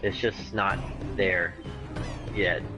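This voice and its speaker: A man chatting casually about games in a laid-back, halting way. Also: low-pitched, steady tone. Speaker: gaming show co-host. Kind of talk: casual chat while gaming. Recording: loud music behind the voice. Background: music.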